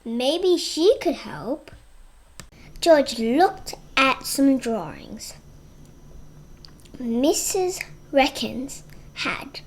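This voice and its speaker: A young child reads a storybook aloud at a very slow, careful pace. The voice is high and lively, with plenty of rise and fall in the expressive delivery. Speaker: reader.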